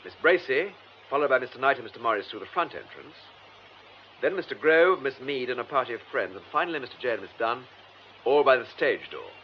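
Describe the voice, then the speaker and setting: Radio drama detective male actor: British, courteous, probing, very lively intonation, slightly muffled broadcast sound. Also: mid-range voice, fairly quick, a little hiss or hum, close to an everyday voice. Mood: methodical, probing. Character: composed, methodical male police detective questioning suspects.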